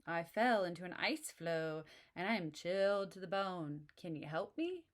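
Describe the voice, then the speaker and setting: A woman voicing a cold male wolverine who begs for shelter in a storybook reading, speaking in a lowish voice. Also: animated, normal speed, close to an everyday voice. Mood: pleading.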